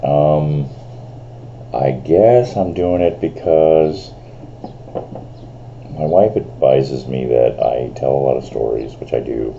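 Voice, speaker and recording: A man in his fifties rambling through a casual vlog, full of fillers and restarts, with a voice he calls big and loud. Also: extremely low pitch, takes it slowly, gravelly, pauses often, dull audio, a little hiss or hum. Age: 50s. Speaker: vlogger. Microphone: camera on a screen in front of him.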